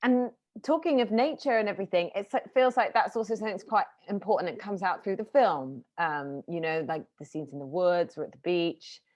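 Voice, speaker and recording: A woman's voice: lowish voice, brisk, few pauses, darkish tone. A woman interviewing a filmmaker over a video call, asking long, winding questions with fillers.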